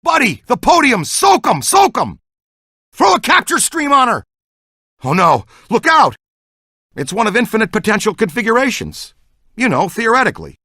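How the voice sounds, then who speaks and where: An earnest, geeky ghost-hunting scientist for a video game. The pitch moves around in a lively way, eager and good-natured, and the recording is dry and close. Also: male voice, normal speed, slightly rough, dry recording, exaggerated voice. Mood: excited, urgent, then thoughtful. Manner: earnest, excitable, technical. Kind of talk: video game dialogue, battle shouts and banter.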